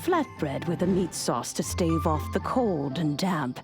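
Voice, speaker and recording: Dignified immortal storyteller for a fantasy game: measured, slow, slightly low female voice, solemn and nostalgic. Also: animated. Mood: solemn, storytelling. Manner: stately, measured, storytelling delivery. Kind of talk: scripted video game storytelling. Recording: music under the voice. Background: background music.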